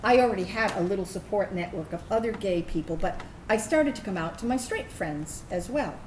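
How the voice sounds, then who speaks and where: A woman's voice: mid-range voice, brisk, natural voice. A woman acting a reflective former Navy officer, reading an earnest personal monologue on stage. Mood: reflective, candid. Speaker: actor reading a veteran's personal narrative. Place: indoor room, staged reading. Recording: echoey room, steady background noise.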